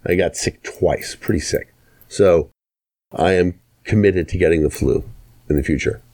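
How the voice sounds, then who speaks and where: Talk radio host: man with a deep register, opinionated delivery, leans on rhetorical questions. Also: moderate pace, a little mellow.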